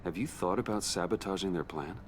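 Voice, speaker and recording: A man acts out a controlled, wary hero working undercover in a video game. His voice is low and measured, with few words and a guarded tone. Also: slight background noise, close to an everyday voice. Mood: quiet scheming. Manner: measured, low-key, terse.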